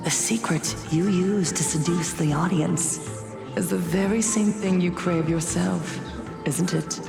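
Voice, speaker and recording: A woman playing an eerie, seductive masked prophetess in a video game cutscene, with a breathy, hypnotic delivery that often sinks toward a whisper. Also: lowish voice. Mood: seductive, knowing. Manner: slow, hushed, hypnotic, savoring each phrase. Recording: voice over prominent music.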